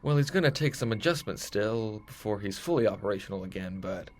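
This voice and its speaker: A man's voice: lowish voice, lively intonation, a little fast. A man playing a dashing, cocky space captain with jaunty old-fashioned bravado in a retro sci-fi audio drama. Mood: wry.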